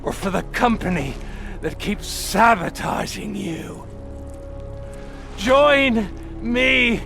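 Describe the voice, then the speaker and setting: A bitter, arrogant male villain for a video game. The voice is high, swinging widely from smug taunts to shouted threats, with a forceful edge. He plays it theatrically and slowly savours his menace. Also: expressive, vocal fry, soft background music, exaggerated voice. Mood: bitter, persuasive. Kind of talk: video game cutscene acting.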